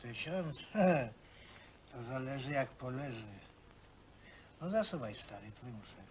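A man's voice: mid-range voice, expressive, unhurried, a little hiss or hum, natural voice. An actor playing a rowdy, crude working-class man in a film, slangy and teasing with his mates. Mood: teasing banter. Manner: coarse, slangy, teasing, chuckling. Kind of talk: naturalistic film acting. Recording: 1970 film soundtrack, voices in background.

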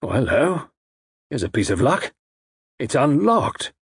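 A man performs a gallant army major from a storybook adventure. He is husky and animated, brisk and plain-spoken, a practical, protective sort with plenty of pluck. The recording is dry and close. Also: medium pitch, dry recording, pitched above the performer's own voice, heavily acted.

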